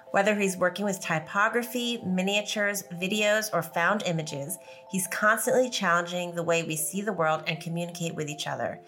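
A woman's voice: fairly low pitch, sharp tone. A woman hosting a podcast, reading a scripted introduction and then asking interview questions. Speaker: podcast host.

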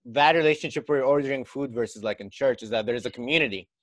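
A man's voice: mid-range voice, fast, fairly bright tone, no room sound. A man from Lebanon who talks thoughtfully and asks the others questions in a casual conversation.

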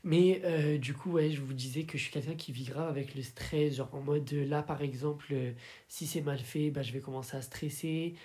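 A man's voice: on the high side, fairly even intonation, normal speed, mellow. A tired man from Paris hosting a casual, confiding solo podcast, speaking informally with slang and a hesitant delivery. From Paris, France. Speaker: podcast host.

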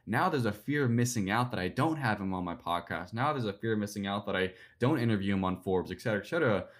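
A man's voice: lowish voice, animated. A young man in his twenties answering interview questions about personal branding, rambling with lots of "like" and "you know". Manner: fast, rambling, filler-heavy with "like" and "you know". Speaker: guest, personal branding speaker.

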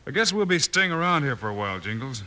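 An actor playing a calm, steadfast American frontier lawman, brisk and level-headed, in a Western radio drama. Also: mid-range voice. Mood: determined. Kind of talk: 1950s Western radio acting. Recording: faintly noisy old radio recording.

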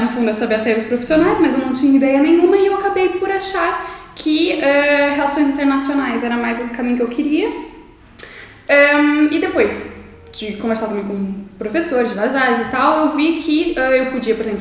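A woman in her twenties from Brazil, speaking with a Brazilian accent, chatting as a guest on a podcast. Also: high voice. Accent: Brazilian Portuguese. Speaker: podcast guest, law student. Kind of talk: casual interview chat. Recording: echoey room.

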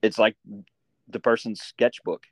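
A man hosting a casual interview, speaking informally and haltingly as he thinks aloud. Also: fairly low pitch, fairly even intonation, no echo. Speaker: interviewer and host.

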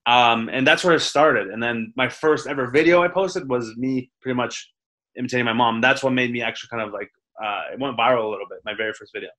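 A man's voice: medium pitch, fast, pushed and bright, low-quality recording. A young man, a stand-up comedian from the Toronto area, chatting in an interview, rambling with restarts and casual swearing. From Toronto area, Canada.

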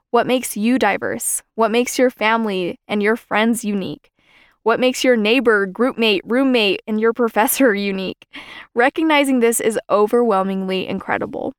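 A young woman hosting a podcast, giving an earnest, measured scripted talk on faith and belonging. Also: medium pitch, smooth, no echo, clean studio sound. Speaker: podcast host.

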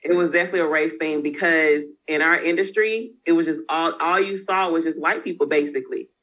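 A woman's voice: low-pitched, fairly even intonation, brisk, a bit bright, low-quality recording. Woman, frank interview guest, casual slangy delivery. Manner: candid, frank, uses slang and mild cursing. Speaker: entrepreneur guest.

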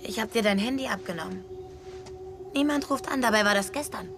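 A woman's voice: fairly high pitch, brisk, noisy background, lightly acted. An actress playing a fatalistic, menacing female killer who talks in a blunt, matter-of-fact way in a dubbed drama series. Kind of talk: German-dubbed TV series dialogue. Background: music.

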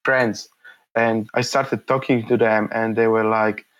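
A man's voice: on the low side, little pitch movement, measured pace, fairly bright tone, muffled recording. A man in his thirties from Poland, Polish his first language, answering interview questions in a hesitant, reflective way with many fillers and restarts.

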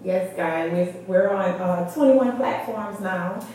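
A woman's voice: on the low side, slow pace, mellow. A woman co-hosting a church service, speaking conversationally as if giving announcements to listeners. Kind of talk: conversational announcements and short affirmations. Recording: very echoey room, distant mic.

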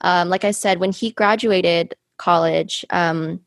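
A young American woman hosts a relaxed podcast conversation, her voice sitting a little low for a woman. She rambles and thinks aloud with lots of ums and likes. The sound is dry and close, with no room around it. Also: slow pace, vocal fry, dry recording. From United States. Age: 20s. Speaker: podcast host. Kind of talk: casual chat.

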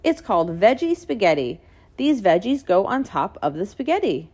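Storybook mother tiger, played by a woman: nurturing, cheerful, coaxing, with brightly varied, singsong pitch. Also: big pitch swings, moderate pace, husky. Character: gentle, encouraging mother tiger.

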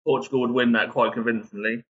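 A man's voice: medium pitch, steady tone, fast talker, muffled recording. A man who is a guest on a podcast, rambling hesitantly and restarting his sentences. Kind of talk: casual podcast chat.

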